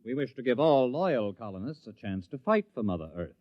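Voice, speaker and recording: An actor playing a smooth, cynical, scheming imperial political advisor in a 1950s-style radio drama. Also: male voice, medium pitch, sing-song, fairly quick, no echo, natural voice. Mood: oratorical, persuasive. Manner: suave, insinuating. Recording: very narrow, tinny old radio recording.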